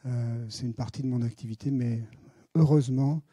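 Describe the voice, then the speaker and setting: A man's voice: mid-range voice, steady tone, normal speed, mellow, husky, no echo. Hesitant middle-aged Frenchman lecturing. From France. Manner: hesitant, many fillers, friendly. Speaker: guest speaker, pediatric hematologist and researcher. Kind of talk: conference talk. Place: lecture hall.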